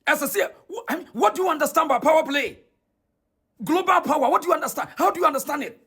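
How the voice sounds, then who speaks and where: Ghanaian dude, super high voice that jumps around, bright and a bit husky, indignant. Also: male voice, lively intonation, quick pace. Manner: indignant, questioning, mixing Twi and English. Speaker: commentator.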